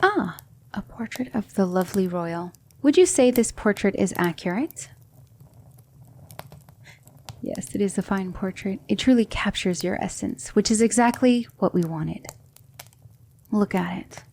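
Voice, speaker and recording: A woman playing a gentle, patient demon mentor in an ASMR roleplay, coaxing her student with soft, deliberate instruction that often drops toward a whisper. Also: mid-range voice, animated. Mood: calm, instructive. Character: gentle female demon mentor teaching magic, patient, reassuring.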